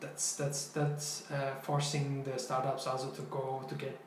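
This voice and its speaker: A young man whose first language is not English, co-hosting a casual podcast chat in a loose, halting way with many restarts. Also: mid-range voice, monotone, roomy sound, a little hiss or hum. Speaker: co-host. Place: small bright office room.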